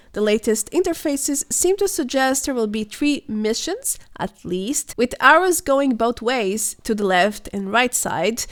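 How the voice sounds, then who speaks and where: A young woman, hoarse and sounding unwell, giving scripted voice-over commentary as a gaming news host, speculative and explanatory in a measured way. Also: a little high. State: hoarse, voice weakened by illness.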